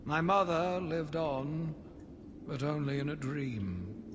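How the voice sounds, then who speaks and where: An actor playing an embittered, zealous asylum doctor who gives a solemn, theatrical monologue for a video game audio log. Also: male voice, fairly high pitch, highs cut off. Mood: grieving, haunted. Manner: solemn, measured, brooding, theatrical. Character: embittered male asylum doctor, grief-stricken, zealous, slowly turning vengeful. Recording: long reverberant echo, loud background noise.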